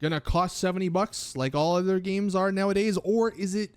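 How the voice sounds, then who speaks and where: A man's voice: high-pitched, fairly quick, clear, resonant tone, dry recording. A man presenting gaming news commentary as a video host, explaining things in an emphatic, casual way. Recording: background music under dry voice. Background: music.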